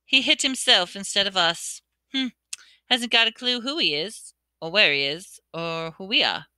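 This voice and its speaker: A woman voicing an excitable young schoolboy who blurts out exclamations and questions. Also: mid-range voice, moderate pace, clean tone, no echo. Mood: matter-of-fact.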